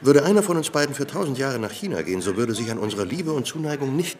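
An actor playing a tipsy, theatrical admirer in a radio drama, declaiming in a florid manner. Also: male voice, mid-range voice, sing-song, quick pace, darkish tone, lightly acted. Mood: grandiloquent.

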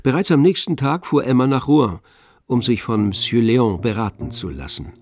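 A man narrating a literary radio play in a composed, measured manner. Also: mid-range voice, lively intonation, a little mellow, no room sound, clean studio sound, close to an everyday voice.

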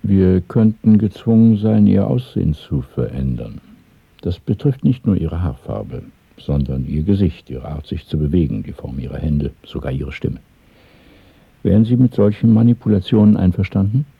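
An actor playing a grave, authoritative conspirator in a radio drama, speaking formally and deliberately as he questions a recruit. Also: low voice, expressive, gravelly, breathy edge, no room sound, phone-quality sound, full character voice. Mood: stern questioning. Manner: formal, deliberate, coolly interrogating. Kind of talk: 1970s German radio drama acting. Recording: thin, band-limited old radio play recording.

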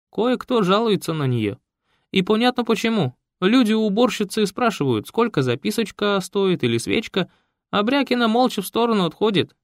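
A man reading a loud, pushy, gossiping church choir director who talks fast and shrieks. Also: dry recording, studio quality. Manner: shrill, rapid, pushy. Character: loud nosy church choir director, middle-aged woman.

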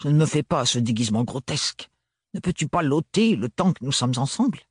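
A man's voice: mid-range voice, animated, fairly quick, breathy edge, dry recording, clean studio sound. A man doing a prim, indignant old aunt. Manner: indignant, sharp-tongued. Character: prim, irritable older aunt.

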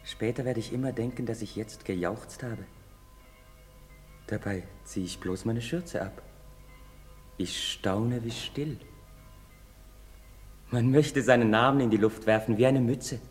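An actor playing an earnest, dreamy young carpenter's apprentice, speaking in an expressive, declamatory stage manner. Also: male voice, mid-range voice, unhurried, long pauses, soft background music, natural voice. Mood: elated, dreamy. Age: young adult. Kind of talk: theatrical stage acting. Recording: reverberant theatre hall.

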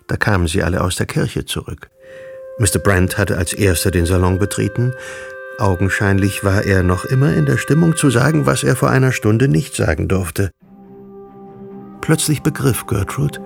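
A male narrator with a fairly low, gravelly voice delivers literary prose for a radio drama. Music plays clearly behind him.

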